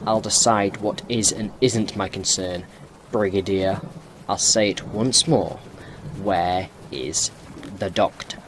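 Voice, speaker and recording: A man acting as a cold, imperious possessed soldier who speaks with deliberate threat in an audio drama. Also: low-pitched. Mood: menacing, cold. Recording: stormy noisy effects behind.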